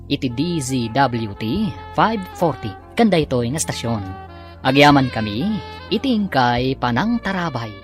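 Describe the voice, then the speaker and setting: A man's voice: mid-range voice, big pitch swings, measured pace. A man with a Filipino accent giving scripted, dramatic narration as the announcer of a radio drama. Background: music.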